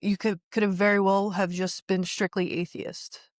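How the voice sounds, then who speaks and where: Interviewer, halting, searching for words, lots of restarts, dry sound. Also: mid-range voice, level tone, dry recording. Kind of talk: casual interview conversation.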